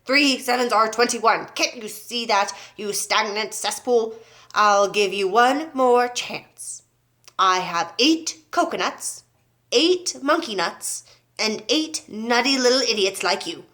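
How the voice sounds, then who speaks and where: A woman's voice: a little high, animated, takes it slowly. A woman voicing a furious, bullying headmistress in a children's storybook, barking out insults. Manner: shouting, barking insults at pupils. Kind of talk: children's storybook read aloud.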